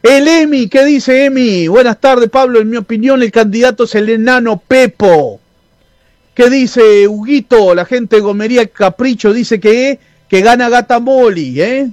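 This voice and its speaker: A man's voice: very high voice, measured pace, a little mellow, dry recording. A man with a Uruguayan accent hosting a radio show, chatting casually and reading listener messages with many fillers and restarts. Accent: Uruguayan Spanish. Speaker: radio host.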